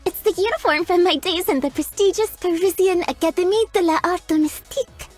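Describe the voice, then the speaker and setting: Giggly flirty maid. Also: female voice, extremely high pitch, normal speed, a little mellow, faint music behind. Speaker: chambermaid character. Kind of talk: video game voice lines.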